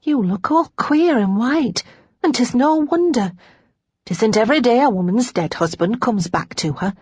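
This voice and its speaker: A woman voicing an excitable, deferential lady's maid in an audiobook, speaking with urgency. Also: a little high, a little slow, husky, no room sound, voice pushed up. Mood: earnest, concerned. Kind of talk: audiobook character dialogue.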